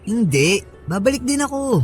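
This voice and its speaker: A man's voice: very high voice, big pitch swings, moderate pace, soft background music. A man playing an affectionate young husband in a radio drama, talking in a casual, coaxing, reassuring way.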